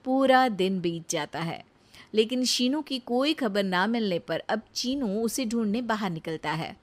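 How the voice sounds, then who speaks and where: A woman's voice: mid-range voice, expressive, a little slow. A woman giving scripted narration of a cartoon story as its narrator.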